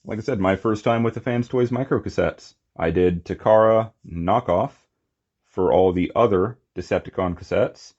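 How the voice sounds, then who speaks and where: A man's voice: deep voice, darkish tone, lo-fi sound. A man giving a casual, unscripted hands-on toy review, rambling and murmuring to himself as he goes. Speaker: toy collector reviewing figures.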